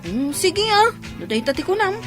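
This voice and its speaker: A woman's voice: very high-pitched, sing-song, normal speed, music in the background. A woman acting in a village family melodrama for radio, with an Ilocano Filipino accent. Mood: chatty.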